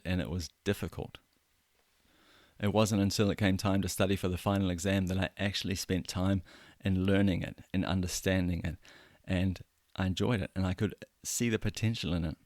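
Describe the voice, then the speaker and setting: A man in his thirties with a New Zealand accent hosts his own podcast, speaking alone into a close mic. His voice sits very low, and the sound is dry with no room around it. He talks in an earnest, self-deprecating way, often restarting his phrases. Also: no room sound. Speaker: podcast host. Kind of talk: solo podcast monologue.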